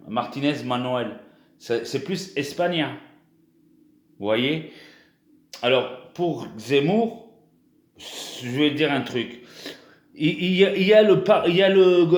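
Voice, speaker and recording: A man's voice: mid-range voice, reverberant. A young man from France talking casually to his viewers in a vlog, hesitant, with many restarts and fillers. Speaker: YouTuber.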